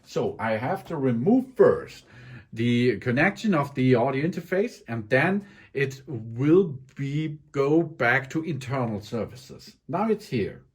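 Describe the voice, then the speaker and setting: A man walks through a home recording setup at an unhurried pace, his pitch rising and falling a lot. His voice is slightly husky, and he stops often to search for words and restart his sentences. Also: sing-song. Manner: hesitant, frequent ums and restarts. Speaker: tech tutorial maker. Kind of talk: tutorial explanation. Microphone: condenser microphone into audio interface.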